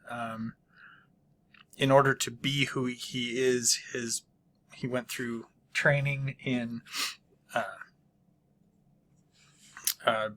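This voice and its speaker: A man hosting his own channel, casually reviewing a book with many pauses, ums and frequent throat clearing. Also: mid-range voice, a bit bright. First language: not Polish.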